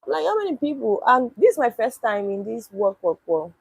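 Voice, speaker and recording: Husky woman chatting on stream. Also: fairly high pitch, very animated, normal speed. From Nigeria. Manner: talks back to chat comments, blunt and swearing. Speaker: live streamer. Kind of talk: casual live stream chat.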